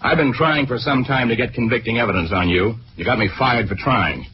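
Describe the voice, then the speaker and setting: Actor as brash young reporter, noisy old radio. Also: male voice, mid-range voice, brisk, lightly acted. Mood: taunting, angry. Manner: combative, slangy, taunting. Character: brash, cocky young male newspaper reporter with a grudge. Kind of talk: 1940s radio drama acting. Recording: noisy, muffled 1940s radio recording.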